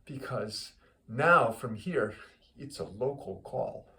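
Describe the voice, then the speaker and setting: A man acts out an old rabbi from a joke, matter-of-fact and unhurried. He explains things plainly and circles back over his own words, in a mid-range male voice. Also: medium pitch, gravelly, pushed and bright, pitched below the performer's own voice, heavily acted. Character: elderly rabbi in a run-down temple, matter-of-fact.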